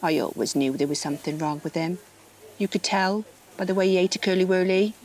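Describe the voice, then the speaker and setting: A prim and rather dim female shop assistant speaks in a slightly low voice, acted by a woman for a comedy audio play. She is officious and matter-of-fact, with a suspicious, gossipy streak. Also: a little fast, a little mellow, natural voice, rough recording. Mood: indignant, gossipy.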